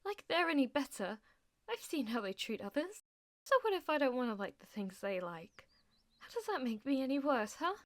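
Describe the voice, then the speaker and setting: Schoolgirl, played by a woman for audio roleplay: high-pitched, theatrical, self-styled dark lord's aide, pompous wording, prone to stammering. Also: very animated, moderate pace. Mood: defensive, agitated. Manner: theatrical, grandiose formal phrasing with flustered stammering. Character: melodramatic, delusional schoolgirl who fancies herself a demon lord's aide. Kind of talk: ASMR audio roleplay acting.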